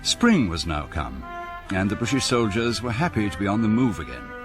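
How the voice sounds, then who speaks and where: An actor playing a measured, authoritative historical narrator in a radio drama. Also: male voice, lowish voice, sing-song. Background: music.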